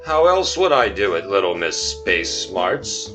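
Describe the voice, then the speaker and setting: A man's voice: deep voice, big pitch swings, measured pace, over music. A man playing a self-important, dim starship captain, theatrical and blustering, in a comic sci-fi radio serial. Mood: indignant.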